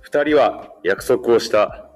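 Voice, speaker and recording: Medium-pitched male radio drama narrator. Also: animated, slow pace, faint music behind.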